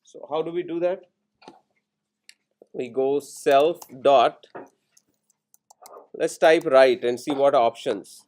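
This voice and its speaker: A man lecturing in programming, explaining each step in short instructional phrases with pauses between them. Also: mid-range voice, a little mellow. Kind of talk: step-by-step classroom coding tutorial.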